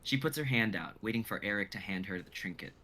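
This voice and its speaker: Low, bright-voiced young male narrator. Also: expressive, quick pace, a little hiss or hum. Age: young adult. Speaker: narrator reading stage directions. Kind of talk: dramatic scripted narration, read live.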